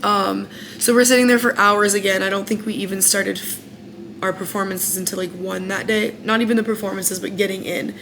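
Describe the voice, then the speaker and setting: A young woman with an American accent talks straight to the camera, chatty and frank, with lots of "like" fillers. Her voice is mid-pitched and carries a faint hiss behind it. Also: steady tone, fairly quick, gravelly. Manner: candid and chatty, many "like" fillers, frank opinions. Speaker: former singing contestant recounting her experience. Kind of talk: casual storytelling vlog.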